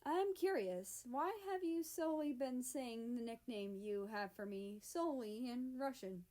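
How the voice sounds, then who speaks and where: A woman's voice: on the high side, a little slow, mellow, close to an everyday voice. Woman reading as a playful, teasing young man.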